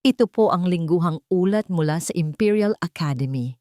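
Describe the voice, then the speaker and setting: A woman's voice: fairly low pitch, expressive, normal speed, a little mellow, no room sound, studio quality, lightly acted. A woman voicing a polite, formal male executive assistant in an audio story. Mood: deferential.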